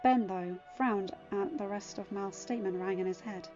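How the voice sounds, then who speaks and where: A woman reading scripted fiction as an expressive storyteller. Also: medium pitch, normal speed. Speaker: fan fiction narrator. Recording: dull lo-fi audio. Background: faint music.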